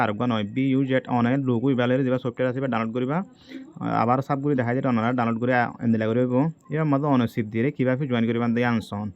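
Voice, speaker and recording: A man's voice: mid-range voice, smooth, dull audio. A man with a Rohingya-Chittagonian accent giving an informal how-to explanation for social media, going step by step and repeating himself. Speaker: tech tutorial video creator.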